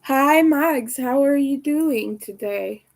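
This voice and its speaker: A young woman with a raspy, hoarse voice, chatting casually with viewers as she crochets on a livestream. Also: high voice, slow, a little mellow. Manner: chatty, talks to viewers while working. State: hoarse from streaming a lot. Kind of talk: casual chat while crocheting live.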